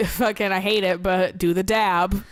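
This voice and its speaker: A woman co-hosting a casual gameplay chat, stuffy from a cold, talking in fast, laughing, swearing rants. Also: medium pitch, fairly even intonation, dry recording, studio quality. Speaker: gameplay co-host.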